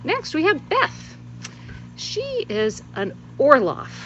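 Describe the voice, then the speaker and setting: A woman giving a gentle children's talk outdoors, explaining things in a clear, teacherly way. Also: high-pitched, huge pitch swings, slow pace, muffled recording, slight background noise. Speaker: children's story presenter at church. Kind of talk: children's lesson about her chickens. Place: outdoors with chickens in grass.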